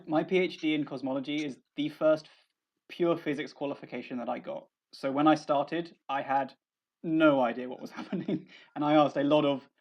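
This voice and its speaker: A young man with a southern English accent talks casually as a guest researcher, his voice a bit high for a man. He hesitates and restarts his sentences in a modest way. The audio sounds dull and lo-fi. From south of England. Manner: hesitant, restarts often, self-deprecating. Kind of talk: casual conversation.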